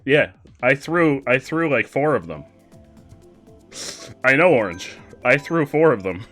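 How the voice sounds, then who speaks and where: Young guy streaming kart races, super animated, laughing a lot, faint music behind him. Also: medium pitch, takes it slowly, pauses often. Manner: excitable, giggly, chats with viewers. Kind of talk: casual gaming commentary while racing.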